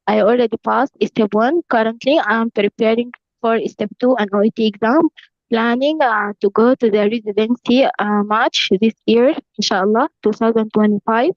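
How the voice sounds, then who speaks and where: A young woman from Sudan speaks steadily on a video call, pausing on a few fillers. Her voice is fairly high, and the sound is dry and a little dull. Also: no echo. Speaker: meeting participant. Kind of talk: self-introduction on a video call. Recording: dry, slightly muffled call audio.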